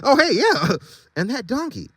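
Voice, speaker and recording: A man acts out a nervous young zebra from a storybook. The voice sits high and swings about, stumbling over evasive half-answers with fluttery giggles. The sound is close and dry. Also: sing-song, moderate pace, fairly bright tone, breathy edge, no room sound, full character voice. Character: flustered, giggly young male zebra hiding a secret.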